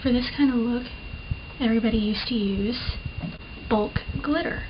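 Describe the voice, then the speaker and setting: A woman's voice: a little high, moderate pace. A young woman chatting through a makeup tutorial in a casual, self-deprecating, rambling way, with a slight lisp from the camera mic. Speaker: beauty vlogger. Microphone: built-in camera mic. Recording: muffled lo-fi camera mic with clear hiss.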